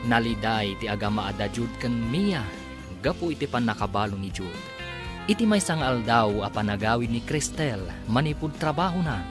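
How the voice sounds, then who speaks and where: A man with an Ilocano accent giving dramatic scripted narration as a radio drama announcer. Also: mid-range voice. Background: music.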